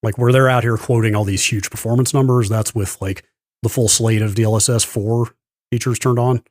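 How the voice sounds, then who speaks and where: A man's voice: medium pitch, fairly even intonation, a little fast, a little mellow, no room sound, clean studio sound. A man co-hosting a podcast, explaining things casually and in detail with careful qualifications. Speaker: podcast host. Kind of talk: casual tech news discussion.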